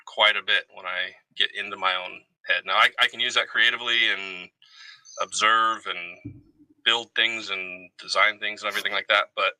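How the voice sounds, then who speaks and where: A man's voice: fairly low pitch, steady tone, normal speed, sharp tone, no echo, low-quality recording. A man speaking as a guest in casual conversation, candid and reflective about himself. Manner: reflective, self-revealing. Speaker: guest, retired army veteran. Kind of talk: candid casual personal talk.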